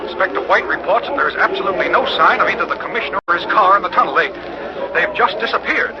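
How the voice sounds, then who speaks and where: An American man playing an earnest, excitable on-the-scene reporter in a 1940s radio drama, announcing formally in a projected voice. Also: sits high, fast talker. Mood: urgent, baffled. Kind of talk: 1940s radio drama, live news commentary. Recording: muffled old radio, faint noise.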